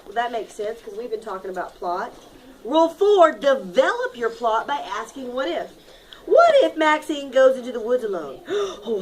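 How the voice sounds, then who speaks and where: A woman teacher reading a picture book aloud to her class in an expressive, dramatic manner, leaning on key words and prompting children to repeat. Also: a little high, low-quality recording. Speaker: primary school teacher.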